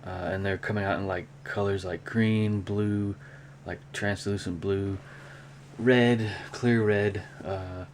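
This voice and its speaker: A man talking casually and unscripted in a hobby show-and-tell vlog, with a rambling, halting delivery. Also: on the low side, steady tone. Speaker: hobby vlogger, cassette collector. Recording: clear background noise.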